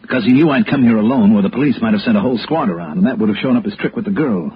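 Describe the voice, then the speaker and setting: An American actor playing a tough, cool insurance investigator in clipped, hard-boiled 1960s radio noir style. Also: male voice, fairly low pitch, expressive, very fast, slightly rough. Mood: probing, skeptical. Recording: muffled vintage radio, music behind.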